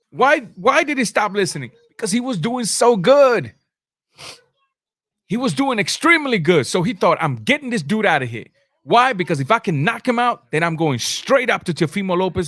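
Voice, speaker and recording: Emphatic, higher-pitched male boxing host. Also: a bit bright, dry recording, clean studio sound. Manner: emphatic, repeats phrases for effect; blunt, informal street-slang address. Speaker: host of a boxing live stream. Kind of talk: live sports commentary, answering chat comments.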